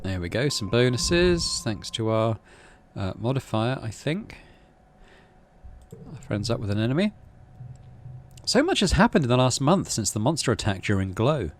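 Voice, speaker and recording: A man's voice: mid-range voice, sing-song, normal speed, a little hiss or hum. A man reading out a story game as narration and adding casual commentary as he plays. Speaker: video game streamer.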